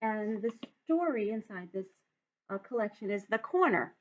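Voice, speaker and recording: A woman speaking as a teacher at storytime, addressing young children directly with expressive, careful delivery. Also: mid-range voice, moderate pace. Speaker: teacher reading a story to students. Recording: dull, lo-fi home recording.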